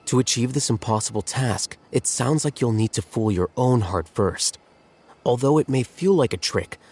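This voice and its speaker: A man voicing a calm, scholarly strategist in a video game, speaking in a measured, explanatory way. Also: on the low side. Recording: game audio with faint music.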